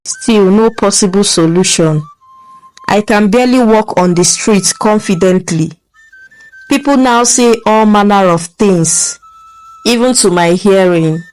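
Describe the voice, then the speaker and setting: A woman voicing a distressed young wife, speaking slowly and heavily. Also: medium pitch, darkish tone, soft background music, close to an everyday voice, rough recording. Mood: tearful pleading. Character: distressed young wife, tearful then furious.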